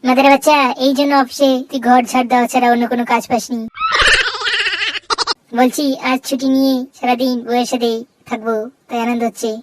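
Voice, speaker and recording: A performer voicing a hot-tempered, suspicious cartoon husband in a high, childlike voice, brash and scolding. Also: flat delivery, brisk, lightly acted. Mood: boastful, irritated. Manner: brash, scolding, insult-laden. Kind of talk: exaggerated comic cartoon dialogue. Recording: noisy track with clicks.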